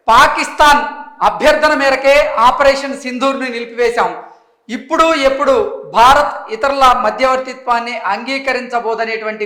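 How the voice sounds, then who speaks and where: Very high-pitched man reading news. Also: pushed and bright. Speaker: news presenter. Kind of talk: news report with commentary. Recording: roomy, echoing voice recording.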